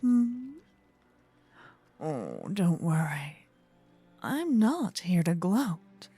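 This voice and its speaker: A woman playing a possessive demon queen spirit in a fantasy ASMR roleplay, breathy, smug, teasing and regal. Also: lowish voice, big pitch swings, drawn-out words, dark tone, soft background music, exaggerated voice. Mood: coaxing, possessive.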